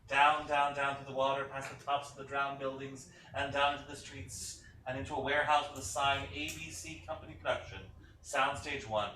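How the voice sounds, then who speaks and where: A man's voice: mid-range voice, little pitch movement, brisk, bright, forceful tone. A man reading stage directions as scripted narration for a staged play reading. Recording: echoey room, distant mic, faint noise.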